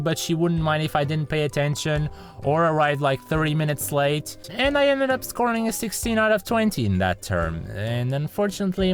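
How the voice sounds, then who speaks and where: Brief: young guy, comic storytime rant, very lively pitch, faint music bed. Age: young adult. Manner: exasperated comic ranting, bursts into shouts. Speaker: animator narrating a storytime. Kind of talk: animated storytime narration.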